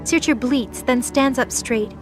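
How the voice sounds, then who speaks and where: A woman's voice: high voice, measured pace, music in the background. A woman narrating a scripted light novel audio drama.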